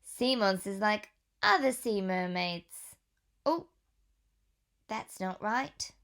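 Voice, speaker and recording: Slow expressive teacher reading to children. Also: female voice, medium pitch. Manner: slow, expressive, with gentle whispered asides. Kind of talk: storybook read-aloud with questions to children.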